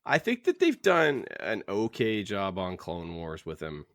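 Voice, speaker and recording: A man co-hosts a podcast in a casual, wry way, leaning on phrases for emphasis. His pitch moves around a lot, and the recording is tight and dry. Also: wildly swooping pitch, measured pace, fairly bright tone, no echo. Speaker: podcast co-host. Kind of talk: casual chat.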